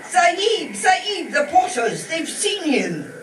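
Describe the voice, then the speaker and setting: A very high, swooping voice carries from afar across an echoey room: an excitable porter played by a woman. The delivery is urgent, shrill, comic radio-play acting. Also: takes it slowly, a faint crowd, full character voice. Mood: excited, urgent. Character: excitable breathless native porter bringing urgent news. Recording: echoey distant stage recording, faint noise.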